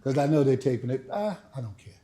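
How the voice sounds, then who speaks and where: An older man giving a speech to a live audience, first a dramatic reading and then a relaxed chat, laughing. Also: quick pace, crowd noise. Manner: booming oratory with rising emphasis; chatty, laughing, folksy asides. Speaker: speaker and author. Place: library event hall. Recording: echoey room.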